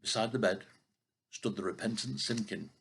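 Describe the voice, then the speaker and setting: An older man reading a children's story expressively, with a husky voice and the odd stumble over a word. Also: on the low side, moderate pace, fairly bright tone, a little hiss or hum. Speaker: storybook reader.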